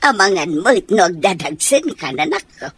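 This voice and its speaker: A woman's voice: lowish voice, very animated, moderate pace. An actress with an Ilocano accent playing a doting, fussing mother and grandmother-to-be in a radio comedy drama. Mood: coaxing.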